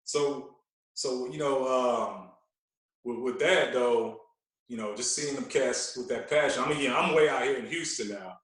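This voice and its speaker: A man's voice: mid-range voice, measured pace, a bit bright. A man speaking as a DJ guest on a podcast, chatting casually in slang, with a very echoey sound. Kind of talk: casual chat. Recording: very echoey, roomy remote sound.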